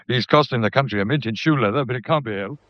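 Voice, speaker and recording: An actor playing a deferential English police detective in a period radio drama, dutiful and matter-of-fact. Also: male voice, medium pitch, quick pace, no room sound, dull audio, natural voice.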